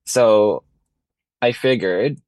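A man's voice: lowish voice, extremely slow, a little mellow, dry recording. A young man from Ireland, a fashion design student, speaking earnestly as a radio interview guest.

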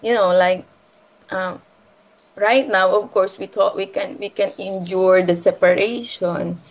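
A woman from the Philippines with a Filipino accent and Filipino as her first language, talking casually and haltingly, often repeating and rephrasing her phrases. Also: medium pitch, steady tone, poor audio. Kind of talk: casual conversational personal talk.

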